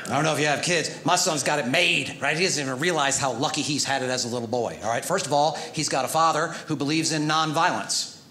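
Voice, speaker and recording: A man's voice: a little high, quick pace, a little hiss or hum. Stand-up comic man, roomy theater stage. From United States. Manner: animated, jumps into loud shouting when acting out scolding. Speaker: stand-up comedian. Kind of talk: stand-up comedy set. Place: theater stage with a live audience. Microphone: handheld microphone. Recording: roomy theater sound with audience laughter.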